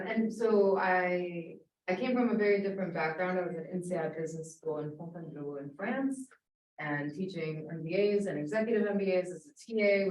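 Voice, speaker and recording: A woman's voice: fairly low pitch, darkish tone. A young woman, a new marketing professor, introducing herself politely and a little hesitantly. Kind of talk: casual self-introduction. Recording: echoey room from far off.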